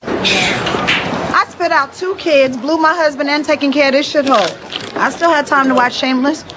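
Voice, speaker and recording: A woman's voice: sits high, expressive, moderate pace, very noisy. A brash, crude, vain woman. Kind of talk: scripted TV recap. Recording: TV soundtrack with loud music.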